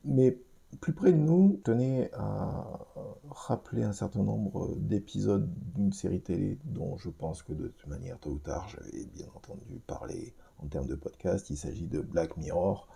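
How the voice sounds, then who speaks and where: Low gravelly man in his fifties. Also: measured pace, warm tone. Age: 50s. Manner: rambling, hesitant with many restarts and fillers; addresses listeners directly. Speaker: podcast host. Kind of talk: film review podcast.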